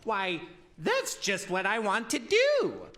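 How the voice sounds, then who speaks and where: Woman playing a slow, swooping, stubborn young man. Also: mid-range voice, huge pitch swings, a bit bright, heavily acted. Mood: eager, cheeky. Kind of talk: theatrical folktale radio play.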